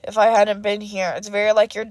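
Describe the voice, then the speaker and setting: A tired young male hero, voiced by a woman reading a story. He is low-key and matter-of-fact, calm but firm, recorded close and dry. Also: fairly quick, no echo, natural voice.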